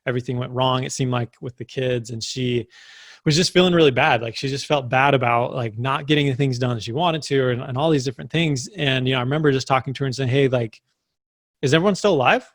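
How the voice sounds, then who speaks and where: A man's voice: mid-range voice, quick pace, no room sound. Young guy chatting on a video call, says like a lot, dry sound. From United States. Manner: filler-heavy, many "like" and "right?". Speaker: summit speaker. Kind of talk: casual motivational talk.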